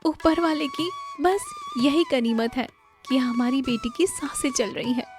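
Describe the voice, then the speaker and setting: A woman's voice: sits high, huge pitch swings, unhurried, pitched above the performer's own voice, exaggerated voice. A woman voicing a distraught, urgent village mother in a cartoon moral story, melodramatic and pleading. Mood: tearful, frightened. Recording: music bed under the voice.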